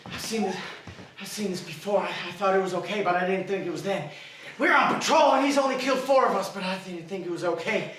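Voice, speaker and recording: An actor playing a traumatized young war veteran who rants, veering between broken murmurs and yelling, in dramatic film acting. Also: male voice, high voice, fast, recorded from a distance. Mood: haunted. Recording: echoey room, voice from off-mic.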